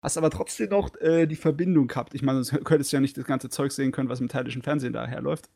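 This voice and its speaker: A man's voice: mid-range voice, racing, gravelly, darkish tone. A man co-hosting a podcast in casual chat, teasing the others. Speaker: podcast co-host.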